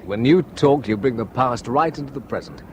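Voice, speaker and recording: A charming young man from London woos his guide with gentle, earnest words, voiced by an actor. His voice sits in a middle register and moves expressively. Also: medium pitch, animated, moderate pace, slight background noise, lightly acted. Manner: charming, wooing. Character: romantic young English visitor. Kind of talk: 1960s radio drama acting.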